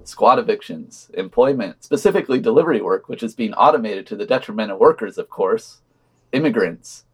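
A man's voice: fairly low pitch, lively intonation, fairly quick, slightly rough. A man reading a scripted podcast news digest with wry commentary and dry, sardonic asides.

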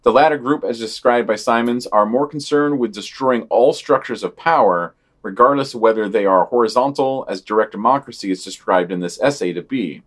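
A man co-hosting a podcast, reading long quoted passages from news items. Between the quotes he gives flat, deadpan and critical remarks. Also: medium pitch, brisk, few pauses. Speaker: podcast co-host.

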